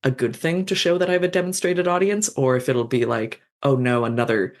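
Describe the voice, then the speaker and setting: A fanfiction author in their thirties, a guest in a casual podcast interview, speaking thoughtfully and self-deprecatingly with many fillers and pauses. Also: medium pitch, fairly even intonation.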